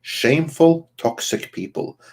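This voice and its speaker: A man's voice: fairly high pitch, a little slow. A man hosting a podcast livestream and reading out viewer comments. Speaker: podcast host.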